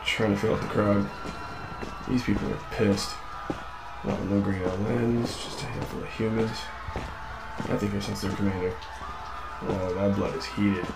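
A man's voice: lowish voice, fairly even intonation, moderate pace, creaky, pauses often, music in the background, low-quality recording. A man playing a curious, nervy young drifter in a sci-fi audio drama, talking casually. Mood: wary, tense.

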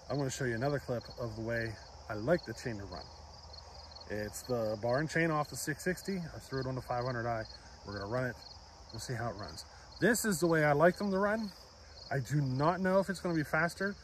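A man in his forties talks casually to a phone camera held close, out of doors, his voice at an ordinary middle pitch. He explains things in a chatty way and keeps checking in with the listener. Faint insects chirp in the background. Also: background noise. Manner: chatty, keeps asking "you know what I mean?". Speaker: chainsaw channel host. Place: outdoors by trees, at a picnic table. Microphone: phone camera close up at arm's length.

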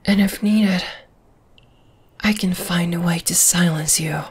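A woman's voice: fairly low pitch, full character voice. Woman as a whispering, menacing spider woman. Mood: predatory, teasing. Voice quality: breathy. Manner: slow, teasing, menacing whisper. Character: menacing, dominating, flesh-eating giant spider woman. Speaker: voice actor. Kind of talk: whispered ASMR roleplay.

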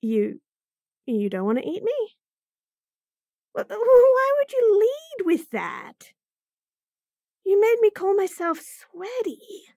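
A woman's voice: very high-pitched, wildly swooping pitch, slow pace, creaky, long pauses, darkish tone, no room sound, clean studio sound, exaggerated voice. A woman voicing a bratty, flustered young bunny girl who stammers and giggles nervously in a roleplay audio.

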